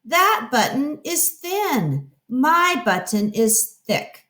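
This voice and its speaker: A woman's voice: high-pitched, very animated, very slow, bright, forceful tone, roomy sound, voice pushed up, heavily acted. A woman voicing a grumpy, fretful male toad who whines out complaints in a children's storybook read-aloud. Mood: angry, wailing. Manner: whiny, exclaiming complaints.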